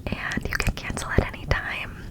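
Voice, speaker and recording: A woman hosting ASMR in close whispers to the microphone, chatting casually and excitedly and often repeating words. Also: moderate pace, rough recording. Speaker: ASMR video host.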